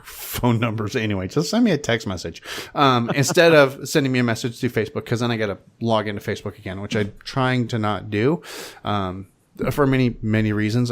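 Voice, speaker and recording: A man hosting a podcast in an informal, wry, rambling way, chatting casually and reading out plugs. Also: on the low side. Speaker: podcast host.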